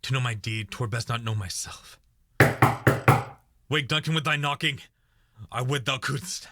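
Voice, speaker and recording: A man's voice: medium pitch, unhurried, sharp tone, a little hiss or hum. A man acting a guilt-racked, fearful murderous thane in a Shakespearean radio play, with a haunted, intense delivery. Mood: guilt-stricken, afraid.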